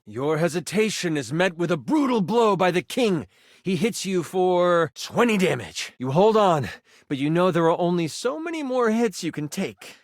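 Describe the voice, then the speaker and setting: An excitable male game master tells a fantasy tabletop tale for a video game. His fairly high voice swings widely in pitch as he builds suspense with theatrical flair. The sound is dry and close. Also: no echo. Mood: tense. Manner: theatrical, expressive, savoring suspense. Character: personified twenty-sided die, an excitable male game master. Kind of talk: dramatic tabletop fantasy narration.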